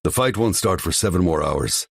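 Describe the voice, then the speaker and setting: A man's voice: sits low, lively intonation, normal speed, creaky, slightly rough, no room sound, voice pushed up, exaggerated voice. A man voicing a stern, controlling father who gives firm warnings. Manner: commanding, warning.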